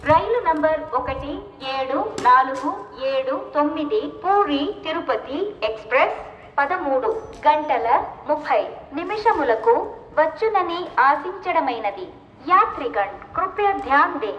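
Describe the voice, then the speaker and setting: An Indian-accented woman making formal automated railway station announcements in a measured, stitched word-by-word delivery, over a public address system. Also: high-pitched, a bit bright. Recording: echoey station PA, muffled, faint noise.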